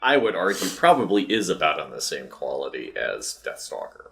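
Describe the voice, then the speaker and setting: Male podcast co-host, low register, casual film talk, enthusiastic and given to nerdy digressions. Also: sing-song, fairly quick, gravelly, a bit bright.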